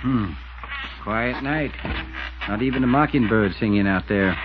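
A man playing a shrewd, calm old small-town constable with a laconic rural American drawl in a radio drama. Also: fairly low pitch, measured pace, natural voice. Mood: calm, wry. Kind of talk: 1950s radio drama acting. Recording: dull, noisy old radio recording.

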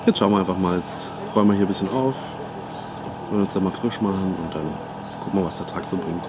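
A man's voice: sits low, quick pace. A man in his thirties chatting casually as a vlogger, rambling with fillers and searching for words, filmed on a camera in a tent and then in a car. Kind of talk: casual vlog chat. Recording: loud background noise from rain and car.

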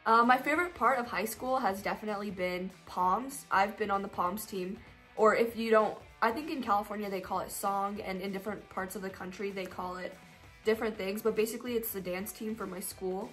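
A woman's voice: medium pitch, steady tone, a little fast, a bit bright, faint music behind. Chatty teenage girl answering questions. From Colorado, US. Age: teens. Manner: chatty, upbeat, rambling with fillers. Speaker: YouTuber answering viewer questions. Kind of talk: casual Q&A vlog.

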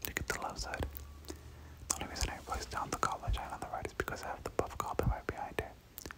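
A whispering game streamer gives ASMR play-by-play in a casual, slangy way. The voice is breathy and unvoiced at a close mic, often repeating little words and phrases. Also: fast. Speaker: gaming video host. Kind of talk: whispered ASMR gameplay commentary.

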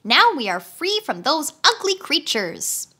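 Billina, a woman doing a chirpy yellow hen. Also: high-pitched, very animated, unhurried, voice pushed up, heavily acted. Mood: delighted. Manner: delighted, chirpy. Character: cheerful, chattering yellow hen, bold.

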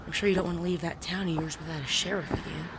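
A sardonic gentleman outlaw from a western audio drama, acted by a woman. The voice is gravelly and articulate, smoothly persuasive in manner. Clear noise runs behind it. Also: on the low side, steady tone, fast talker, lightly acted. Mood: cool, persuasive. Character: suave, sardonic gentleman bandit of the Wild West.

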